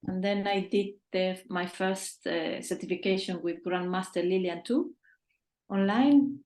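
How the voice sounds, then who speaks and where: A woman's voice: mid-range voice, measured pace, darkish tone, roomy sound. A woman in her fifties from Chile, speaking earnestly as a guest on a video-call interview, with small restarts. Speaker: feng shui consultant, guest. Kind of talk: casual interview answers.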